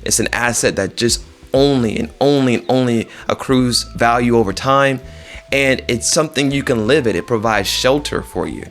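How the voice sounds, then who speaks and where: A man's voice: medium pitch, moderate pace, fairly bright tone, faint music behind. A man talking directly to viewers as a real estate agent, informal and conversational, repeating phrases for emphasis. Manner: conversational, addresses listeners directly.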